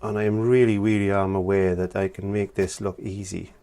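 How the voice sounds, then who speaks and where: A middle-aged man from Wales with a croaky voice from laryngitis, talking plainly step by step as he teaches acrylic painting. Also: low-pitched, flat delivery, fairly quick, dark tone. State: hoarse from laryngitis. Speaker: art teacher. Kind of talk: painting tutorial.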